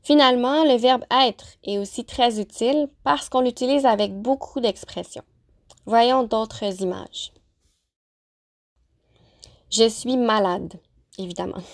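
A woman teacher narrating a lesson, pronouncing example phrases slowly and carefully. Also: on the high side. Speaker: French-as-a-second-language teacher. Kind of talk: clear, deliberate vocabulary lesson narration.

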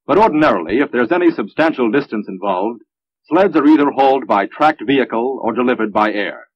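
An American man giving measured, instructional narration in the style of a 1950s military training film, on an old film soundtrack. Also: mid-range voice, animated, breathy edge, highs cut off.

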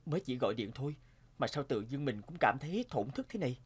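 A man voicing a weary, sentimental young husband in a cartoon, speaking in an expressive, dramatic way. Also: mid-range voice, quick pace, slightly rough. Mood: wistful. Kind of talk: cartoon dubbing.

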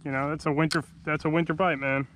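A man's voice: on the high side, little pitch movement, fast talker, fairly bright tone, a little hiss or hum. Laid-back man narrating from a kayak. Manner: laid-back, talks to himself between casts. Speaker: kayak fishing vlogger. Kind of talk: casual running commentary while fishing. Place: kayak on calm open sea, outdoors. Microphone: action camera mounted on the kayak.

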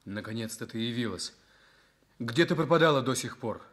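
An actor playing a gravely ill young journalist husband, bitter yet tender, in a Soviet radio drama. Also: male voice, mid-range voice, lively intonation, moderate pace, a bit bright. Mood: bitter, reproachful.

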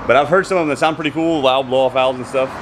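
A man's voice: medium pitch, fairly even intonation, rapid-fire. A man in his thirties giving casual, enthusiastic commentary as a car vlogger, talking to his viewers. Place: outdoors by roadside car lots and shops. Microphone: handheld camera mic. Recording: outdoor camera audio with street noise.

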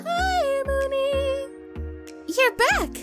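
A woman's voice: very high-pitched, extremely slow, loud music behind the voice, heavily acted. A woman voicing a vain, chirpy, sassy moth monster in a cartoon comic dub. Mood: bubbly. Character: vain, bubbly female moth monster, easily miffed.

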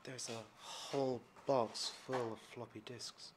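A man's voice: medium pitch, level tone, slow pace, noisy background. A man casually narrating as he explores an abandoned hospital, chatty and curious, explaining what he finds. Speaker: urban explorer host.

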